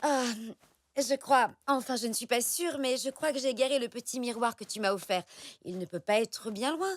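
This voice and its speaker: A woman playing a gentle, slightly flustered zebra mother in a French children's cartoon, speaking hesitantly. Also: on the high side, moderate pace, dry recording, close to an everyday voice. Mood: sheepish, worried.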